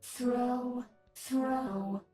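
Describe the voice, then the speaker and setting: Slow, high robotic girl. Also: fairly even intonation, music in the background. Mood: cold, menacing. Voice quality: robotic, mechanical. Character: eerie female clockwork robot girl, emotionless and protective. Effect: robotic voice processing. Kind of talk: video game voice lines.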